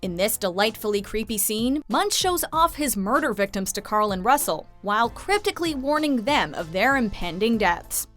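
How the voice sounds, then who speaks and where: A woman's voice: a little high, big pitch swings, moderate pace, few pauses, a bit bright. A young woman reading scripted countdown narration as a video host. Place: studio voice-over. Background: music.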